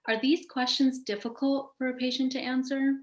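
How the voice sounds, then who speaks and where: A woman's voice: high voice, level tone, normal speed. A woman hosting a panel, reading out scripted interview questions. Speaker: host asking questions of a panel. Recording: roomy, echoing sound.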